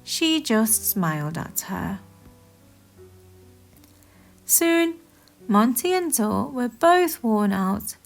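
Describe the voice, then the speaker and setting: A woman reading a children's story aloud as expressive scripted narration, in a deliberate, animated manner. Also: on the high side, vocal fry, faint music behind.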